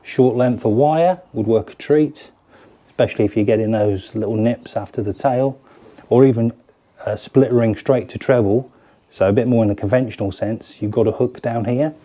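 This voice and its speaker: Relaxed British presenter in his forties, mellow tone, chatty hands-on product walkthrough. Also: mid-range voice, moderate pace. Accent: British English. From United Kingdom. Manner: chatty, hands-on, explains while fiddling with lures. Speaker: fishing tackle presenter. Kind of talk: casual product demonstration to camera. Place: garden cabin or shed, indoors.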